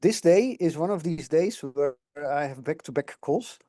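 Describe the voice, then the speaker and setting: A man's voice: on the high side, lively intonation, measured pace, warm tone. A middle-aged man from the Netherlands, a guest explaining things on a video call and often checking in with "correct?". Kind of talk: casual call conversation.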